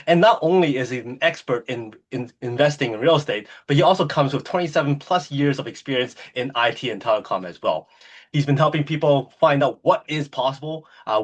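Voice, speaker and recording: A man hosting an interview podcast, introducing and questioning his guest with eager enthusiasm and plenty of "uh" fillers. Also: mid-range voice. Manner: enthusiastic, many "uh" fillers and restarts. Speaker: podcast host.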